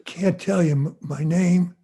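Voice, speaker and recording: An actor playing a stubborn, gravely wounded young gunman in a radio western, weak and in pain. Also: high voice, fairly even intonation, measured pace, mellow. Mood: pained, guarded. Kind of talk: old-time radio western acting.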